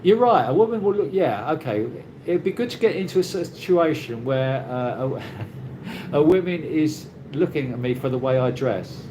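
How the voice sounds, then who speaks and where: A British man hosting a casual live chat, reading out viewer comments and answering in a rambling, halting way with many restarts. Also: fairly high pitch, lively intonation. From Britain. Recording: noisy ambient background.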